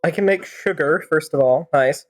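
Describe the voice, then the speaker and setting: A woman's voice: sits low, moderate pace. A woman game streamer improvising wry, teasing commentary and joking replies to the characters. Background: game music.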